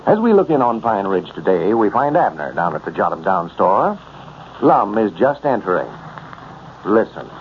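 Old time radio announcer guy, really animated, scripted 30s delivery, muffled noisy recording. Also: fairly low pitch, normal speed.

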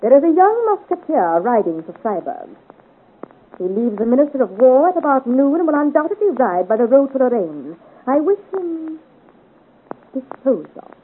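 A woman's voice: high-pitched, sing-song, moderate pace, slight background noise. A woman playing a haughty, scheming French noblewoman villain in a 1950s radio serial, imperious and theatrical in her commands. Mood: cold, commanding. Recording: muffled vintage radio serial recording.

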